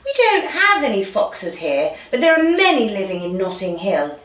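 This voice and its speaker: Woman putting on a posh British swan, swooping pitch. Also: wildly swooping pitch, moderate pace, fairly bright tone, recorded from a distance. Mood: genteel surprise. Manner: genteel, exclamatory. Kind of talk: children's storybook read-aloud. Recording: echoey room.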